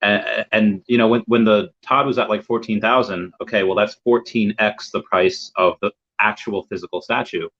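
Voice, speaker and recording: A man's voice: fairly low pitch, steady tone, normal speed, hardly any pauses, fairly bright tone. A young man from the United States, a guest in a casual interview chat over a video call, rambling and repeating words as he searches for his thoughts. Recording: dull lo-fi audio.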